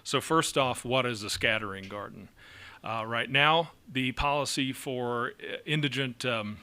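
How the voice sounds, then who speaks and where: A man's voice: medium pitch, a little slow, pushed and bright. A man presenting an ordinance at a city council meeting, explaining it with many fillers and an occasional chuckle. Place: council chamber.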